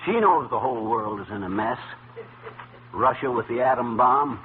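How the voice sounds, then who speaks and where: A man's voice: medium pitch, moderate pace, close to an everyday voice. A man playing a grumpy, blustering middle-aged husband in a 1940s radio comedy, sputtering and complaining with easy exasperation. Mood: wry, grumbling. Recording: noisy, tinny old radio broadcast.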